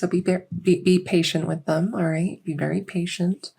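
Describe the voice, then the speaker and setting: A woman playing a gentle fae doctor in a soft-spoken ASMR medical roleplay, reassuring and unhurried, sometimes slipping toward a whisper. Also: on the low side, fairly even intonation, vocal fry, husky. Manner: unhurried, reassuring, hesitant pauses. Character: gentle female fae doctor with buggy wings and scaly tail. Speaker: audio roleplay performer.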